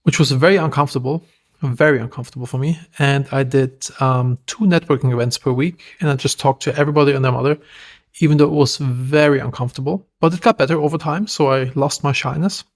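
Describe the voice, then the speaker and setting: A man with a German accent, a podcast guest telling stories in a lively, self-deprecating way. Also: mid-range voice, fast. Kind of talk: casual interview talk.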